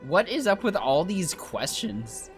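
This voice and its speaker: A man's voice: high voice, big pitch swings, measured pace. A man doing casual, chatty playthrough commentary as a game streamer, musing aloud. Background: faint game music.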